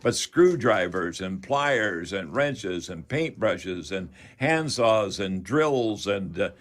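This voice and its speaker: A man's voice: lowish voice, lively intonation, takes it slowly. An older man with a Canadian accent hosting a chatty radio call-in show in a folksy, rambling manner.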